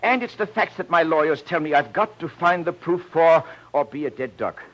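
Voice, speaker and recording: Blustering American producer, very animated pitch, muffled old-radio sound. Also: male voice, moderate pace. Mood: worried, explaining. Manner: excitable, blustering, emphatic. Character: agitated, fast-talking male radio show producer. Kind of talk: 1940s radio drama acting. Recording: muffled old radio recording, faint noise.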